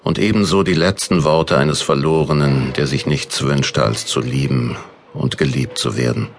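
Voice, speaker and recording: An actor playing a lovelorn, lost man who narrates his confession gravely, as a dramatic audio drama prologue. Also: rumbling low, fairly even intonation. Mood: solemn, yearning. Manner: grave, measured.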